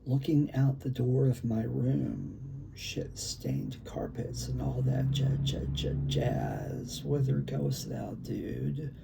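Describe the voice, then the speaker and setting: A man with a gravelly, creaky voice reads his own poetry as spoken word, with deliberate phrasing and emphatic stresses. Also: mid-range voice, big pitch swings. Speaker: poet reading own work. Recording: faint background room noise.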